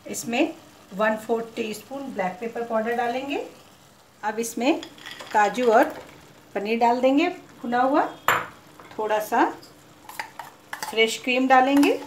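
A woman hosting her cooking channel, calmly talking through a recipe step by step and slipping English cooking words into Hindi. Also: a little high, measured pace, long pauses, roomy sound, slight background noise.

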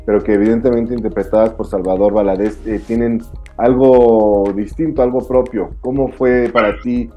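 A man's voice: lowish voice, steady tone, moderate pace, highs cut off, soft background music. A man in his forties hosting an interview over a video call, asking long, effusive questions padded with fillers. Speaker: interviewer, host.

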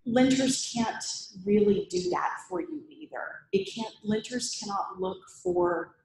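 Woman talking to a meetup crowd, bouncy pitch, bit of fry, echoey room. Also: slight background noise. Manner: lively, chatty, self-deprecating humor. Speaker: conference speaker. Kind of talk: presentation talk with Q&A.

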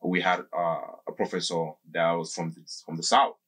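A man in his thirties explaining things casually as a podcast guest, halting and circling back, at a close studio microphone. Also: low voice, level tone, fairly bright tone, breathy edge. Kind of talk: casual explanatory interview talk. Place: small indoor podcast studio. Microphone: desk microphone close up, with headphones.

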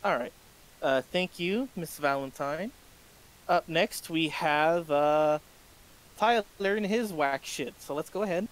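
A man's voice: fairly high pitch, slow, rough recording. A man running a tabletop role-playing game as game master, joking with his players. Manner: joking, laughs at players' bits.

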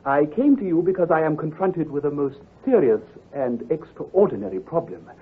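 An actor playing an earnest, amiable, worried young country doctor, formal and fussy, in an old-time radio drama. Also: male voice, a little high, expressive, normal speed, breathy edge, exaggerated voice. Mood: grave. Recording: muffled, lo-fi vintage radio recording.

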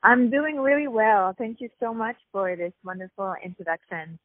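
A woman from Paris with a French accent, a festival founder explaining things in a measured way over the phone. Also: medium pitch, animated, dry recording. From Paris, France. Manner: measured, explanatory, many fillers. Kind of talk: phone interview. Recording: tinny phone line, dry.

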